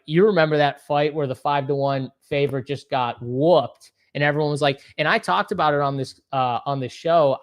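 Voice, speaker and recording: A man with a Worcester, Massachusetts accent, co-hosting a casual fight betting chat, quick to agree and joke at his own expense. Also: medium pitch. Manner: agreeable, quick to chime in, self-deprecating. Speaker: MMA betting analyst.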